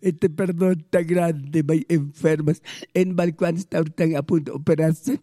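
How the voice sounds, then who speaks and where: A man's voice: fairly high pitch, steady tone, measured pace, warm tone, dry recording, clean studio sound. A man with a Peruvian Spanish accent praying aloud as a pastor, pleading fervently with repeated invocations. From Peru. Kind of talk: fervent spoken prayer.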